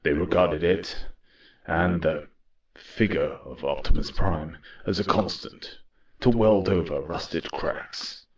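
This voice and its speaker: An actor playing a weary, solemn robot war leader in an audio drama, speaking in a grave, measured way. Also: male voice, low voice. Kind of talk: audio drama dialogue and first-person narration.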